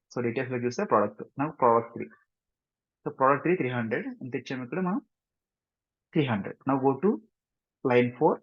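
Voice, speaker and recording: Fast-talking Telugu Indian man teaching. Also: a little high. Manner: often checks understanding with short tag questions; mixes English technical terms into speech. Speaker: software instructor. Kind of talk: informal screen-share tutorial. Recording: dull, muffled lo-fi sound.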